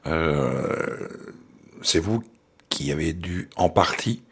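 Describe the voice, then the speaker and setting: A man interviewing a guest on the radio, asking gentle, halting questions with fillers. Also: very deep voice, expressive, vocal fry, slightly rough.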